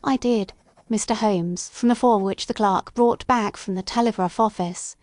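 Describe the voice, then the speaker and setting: A woman's voice: mid-range voice, normal speed, darkish tone, dry recording, lightly acted. A woman playing a respectful but firm young Victorian office clerk in an audio story, formal and earnest. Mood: anxious.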